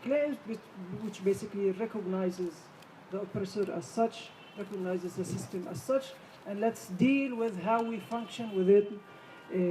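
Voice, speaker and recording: Panelist: Palestinian woman lawyer, halting then forceful, dark voice, picked up from afar in a hall. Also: mid-range voice. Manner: halting, many fillers, firm and emphatic. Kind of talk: panel discussion remarks. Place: conference hall. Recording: distant mic, faint room noise.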